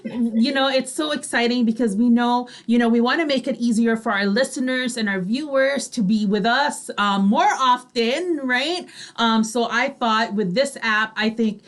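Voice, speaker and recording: A woman's voice: on the high side, normal speed, few pauses. A woman co-hosting online radio, upbeat and agreeable as she casually promotes the station. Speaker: online radio show co-host. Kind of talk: casual promotional chat between co-hosts.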